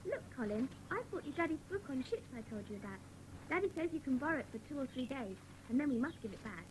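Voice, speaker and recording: High-voiced, excitable young schoolgirl. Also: quick pace, slight background noise, rough recording. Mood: cheerful. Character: chatty, excitable, soft-hearted young schoolgirl of the Secret Seven. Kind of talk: dramatised children's audiobook acting.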